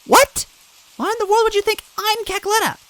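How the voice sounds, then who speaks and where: A man voicing a tough, spunky young toad woman, a former police officer who is blunt and quick to yell. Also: extremely high pitch, voice pushed up, poor audio. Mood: angry.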